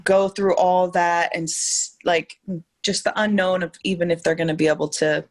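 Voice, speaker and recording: Low-voiced woman, chatty guest. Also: little pitch movement, normal speed. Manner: chatty, heavy use of "like". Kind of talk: casual chat.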